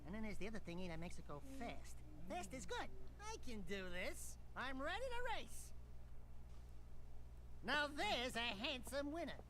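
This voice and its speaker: An actor playing a cocky, wisecracking small furry animal sidekick in a video game, boastful and animated, with stammering bluster. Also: mid-range voice, moderate pace. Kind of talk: video game cutscene acting. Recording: game audio with background noise.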